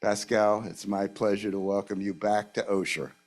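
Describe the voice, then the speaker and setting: A man hosts an event and introduces the guest speaker, reading out the speaker's background in a courteous, measured way. His voice sits a little on the low side. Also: little pitch movement, music in the background. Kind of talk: formal introduction.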